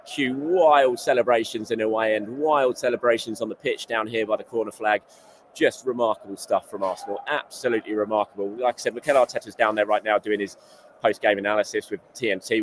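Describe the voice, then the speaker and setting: Excitable football host, low-voiced and going hoarse, rattling off a post-match report at speed in an emptying stadium. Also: male voice, background noise. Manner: fast, rambling and elated. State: losing his voice. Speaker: football journalist and show host.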